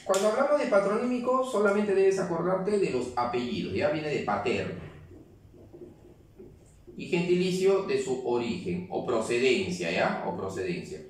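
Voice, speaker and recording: A man's voice: on the high side, animated, normal speed, a little hiss or hum. Male teacher, keeps checking in with the class; heavy room echo, mic far away. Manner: explains step by step, keeps checking with "¿ya?". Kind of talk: classroom grammar lesson.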